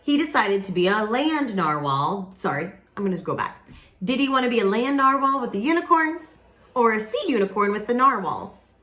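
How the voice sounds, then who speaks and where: A woman reading a picture book aloud expressively, with dramatic pauses and casual asides to young listeners. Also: mid-range voice, big pitch swings, pushed and bright. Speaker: children's picture book reader.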